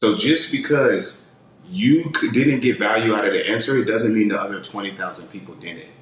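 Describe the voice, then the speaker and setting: A man's voice: fairly low pitch, normal speed, slight background noise. A young man hosting a live stream, defensive in a heated argument and repeating himself. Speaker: livestream host. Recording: roomy echo.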